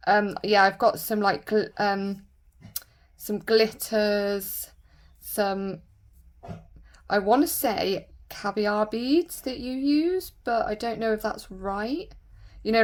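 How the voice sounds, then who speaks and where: A woman with a croaky voice from a sore throat, chatting casually and rambling with lots of fillers while doing her nails. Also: mid-range voice. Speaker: nail vlogger.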